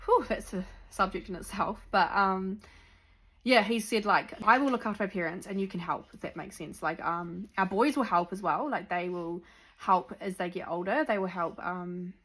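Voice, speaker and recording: A woman's voice: medium pitch, moderate pace, fairly bright tone. A young woman from New Zealand with a Kiwi accent, chatting casually to camera with lots of ums and likes. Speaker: vlogger. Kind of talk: sit-down chat to camera.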